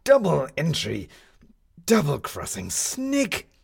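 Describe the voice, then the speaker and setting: A man's voice: a little high, huge pitch swings, takes it slowly, creaky, husky, pitched above the performer's own voice, heavily acted. A man voicing an indignant male schemer on the run in a storybook reading, with a blustering, theatrical, emphatic delivery.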